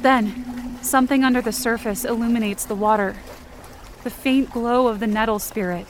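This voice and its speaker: A woman with a distinctly high voice narrates a fiction podcast in the first person. Her delivery is intense and theatrical, in short sentences, with a clear watery rumble behind her. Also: fairly even intonation, moderate pace. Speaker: writer and performer of fiction podcast.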